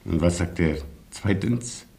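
An actor in a radio drama playing a proud, stoic colonel with a terse, formal manner. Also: male voice, low voice, measured pace, warm tone. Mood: brisk, commanding. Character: proud, stoic Polish male colonel, gallant and commanding.

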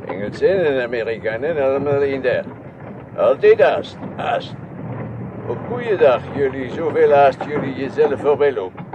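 An actor playing a chatty, grumbling foreign taxi driver in a radio drama, speaking broken sentences with a foreign accent. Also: male voice, mid-range voice, expressive, normal speed, lightly acted. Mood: impatient grumbling. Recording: muffled radio play recording with clear noise.

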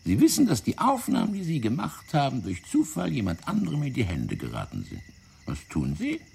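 An actor in a German radio play playing a fat, wily, self-righteous French intelligence officer, explaining things in a theatrical, condescending way. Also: male voice, mid-range voice, wildly swooping pitch, fairly quick, creaky, dark tone, slight background noise. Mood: calculating, insistent. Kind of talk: German radio drama acting.

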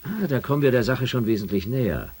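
An actor playing an impatient, questioning spaceship commander in a science fiction radio play. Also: male voice, medium pitch, expressive, fast talker, darkish tone, breathy edge. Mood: exasperated.

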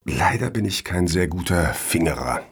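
Mild, wry male host figure played by a man, husky low-leaning voice, lively delivery, satirical audio drama. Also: normal speed, heavily acted. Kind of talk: satirical radio play dialogue.

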